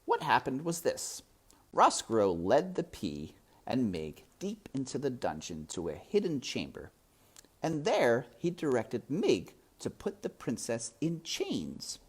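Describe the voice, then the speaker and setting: A woman reading a story aloud with dramatic, suspenseful narration that is sometimes hushed. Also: extremely low pitch, wildly swooping pitch, unhurried, husky. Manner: suspenseful, hushed at times, theatrical. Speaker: storybook reader.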